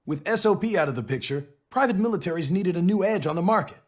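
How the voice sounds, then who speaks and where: An actor playing a laid-back, wry military man in a video game, with a husky voice and casual delivery. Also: sits high, animated, quick pace. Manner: casual, wisecracking. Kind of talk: video game cutscene acting.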